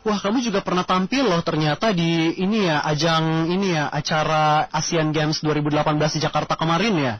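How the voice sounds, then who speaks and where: A man's voice: fairly high pitch, steady tone, a little fast, a bit bright, lo-fi sound. A man hosting a casual radio phone interview in a chatty, colloquial, gushing way.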